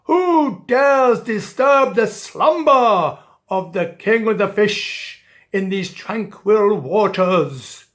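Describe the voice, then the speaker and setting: Woman doing a slow, booming, pompous fish king, kindly underneath. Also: expressive. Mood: grand.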